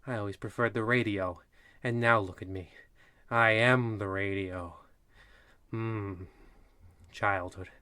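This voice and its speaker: A man's voice: fairly low pitch, sharp tone, no echo. Man as wry old-radio horror host, close and dry. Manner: mock-ominous, chatty, digressive. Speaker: narrator, host. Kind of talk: parody of old radio show narration.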